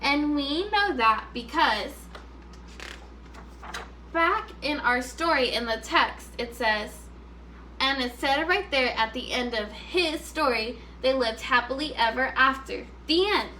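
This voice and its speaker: A woman teacher reading a story aloud to first graders, expressive and instructive, pausing to put questions to them. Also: high voice, slight background noise.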